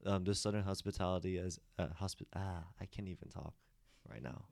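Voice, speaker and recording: A man's voice: low voice, fairly even intonation, fairly quick, vocal fry, darkish tone, dry recording, studio quality. A man who grew up in the States talking loosely in a group discussion, full of fillers and restarts. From United States. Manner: rambling with many restarts and fillers. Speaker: discussion participant.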